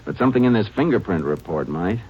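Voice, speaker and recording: An actor playing a calm, plain-spoken Texas Ranger who also narrates, in a 1950s radio drama. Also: male voice, fairly low pitch, fast talker, husky. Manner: calm, laconic, matter-of-fact. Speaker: lead and narrator. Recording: dull old radio broadcast recording.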